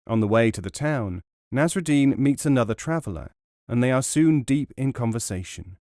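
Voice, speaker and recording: A man reading an essay as a scripted audiobook narration, in a measured, formal way. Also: medium pitch, expressive, warm tone, no echo, studio quality.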